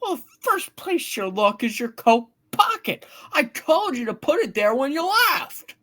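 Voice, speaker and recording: A woman's voice: sits high, sing-song, a little slow, fairly bright tone, pitched above the performer's own voice, exaggerated voice. A woman voicing an earnest, harried young businessman, anxious and determined, in a hammed-up radio play. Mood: alarmed.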